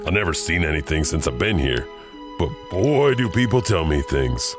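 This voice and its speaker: Creepy janitor host with deep swooping voice, loud music behind. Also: male voice, big pitch swings, normal speed. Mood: eerie chatty. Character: creepy old hotel janitor host, chatty, eerie. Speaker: host narrator. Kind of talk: audio drama narration. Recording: music bed under voice.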